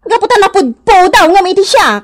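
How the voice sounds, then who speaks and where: Shrill-pitched scolding Ilocano wife. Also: female voice, very animated, fairly quick. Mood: suspicious, scolding. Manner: scolding, exclamatory. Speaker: radio drama actor. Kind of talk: Ilocano comedy radio drama acting.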